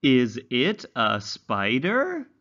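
Very slow, animated male children's teacher. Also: medium pitch, lo-fi sound. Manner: carefully enunciated, instructional, exaggerated intonation. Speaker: English teacher for young learners. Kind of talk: slow read-along lesson narration for children.

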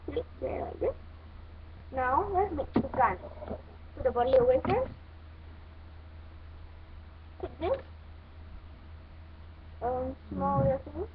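Woman doing a toy building how-to, halting and slow, tinny phone-like sound w background noise. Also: high-pitched. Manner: halting step-by-step instructions. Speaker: toy review host. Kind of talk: hands-on toy building tutorial. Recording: muffled phone-like audio, clear background noise.

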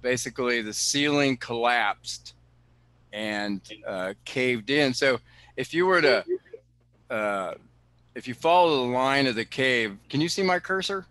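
A man, director of a cave preserve, answering questions on a video call in an explanatory way, often pausing with fillers and restarting sentences. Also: medium pitch, lively intonation, sharp tone, clear, resonant tone, dry recording. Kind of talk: answering questions in an online talk.

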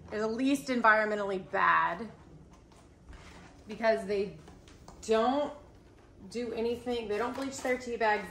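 A young woman talks her way through making lunch. She talks slowly and chattily, with wry little jabs at herself. The voice bounces off a hard kitchen, and pans clatter faintly behind her. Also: mid-range voice, a bit bright. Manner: chatty, self-mocking asides while cooking. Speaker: vlogger. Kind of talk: casual cooking vlog chat. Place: home kitchen, indoors. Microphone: camera mic from a few feet away. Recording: echoey kitchen, faint cooking noise.

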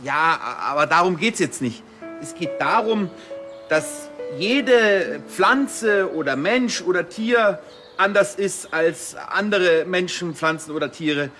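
Gentle policeman, earnest, halting, talking to kids, TV comedy, faint music. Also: sits high, lively intonation, heavily acted. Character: earnest, gentle male policeman addressing small children. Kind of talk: television crime comedy acting.

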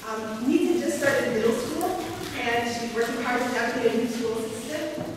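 A woman in her forties speaks with heartfelt, halting warmth, restarting her sentences as she addresses a congregation. Her fairly high voice sounds as if it comes from across a long, echoing hall. Room noise from the gathering is clear behind her. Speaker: mother of the bat mitzvah girl. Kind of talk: speech at a religious ceremony. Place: small synagogue hall with a seated congregation. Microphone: far room camera. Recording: echoey hall from far off.

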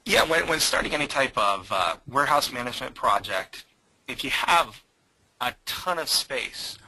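A man presenting software in a webinar, answering audience questions with frequent hesitations. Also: on the low side, husky, low-quality recording. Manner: hesitant, many um fillers.

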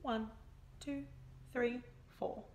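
A young woman reads a picture book aloud to children in an expressive, emphatic storytelling style. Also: fairly high pitch, extremely slow. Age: young adult. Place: small indoor room by a window.

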